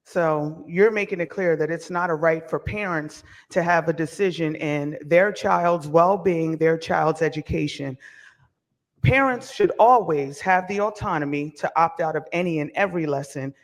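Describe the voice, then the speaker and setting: A man questioning a witness in a formal hearing, pointed and deliberate. His voice sits a little high. The sound carries a long reverb from the hearing room.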